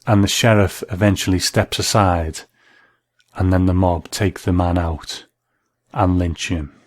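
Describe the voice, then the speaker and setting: Low-voiced man giving measured commentary. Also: dry recording. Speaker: presenter, reviewer of vintage drama. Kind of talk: explanatory commentary and plot summary.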